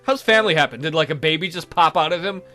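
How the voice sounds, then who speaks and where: A man's voice: fairly high pitch, fast talker, bright, forceful tone, soft background music. A man reading a visual novel game aloud as a let's play host, with crude, irreverent asides.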